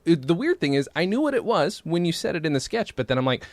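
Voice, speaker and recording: An American man hosts a podcast in a casual, enthusiastic way. His voice sits at a middle pitch and sounds close and dry, with very little room on it. He rambles a little as he talks. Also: sing-song, quick pace, darkish tone, no echo. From upstate New York, United States. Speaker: podcast host. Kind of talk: casual interview chat.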